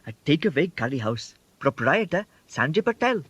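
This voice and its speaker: High-voiced old Indian sweeper. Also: male voice, sing-song, moderate pace, a bit bright, slightly rough, dry recording, full character voice. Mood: wistful. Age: older. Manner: lilting, repetitive, eagerly polite. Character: elderly Indian male sweeper, cheerful, wistful dreamer. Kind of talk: radio comedy drama acting.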